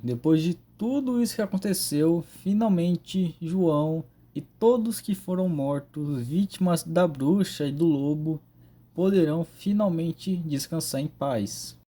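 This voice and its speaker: A man's voice: on the high side, unhurried, dark tone. A man with a Brazilian accent giving a casual narrated recap and commentary as a video creator. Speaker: video creator reviewing horror stories.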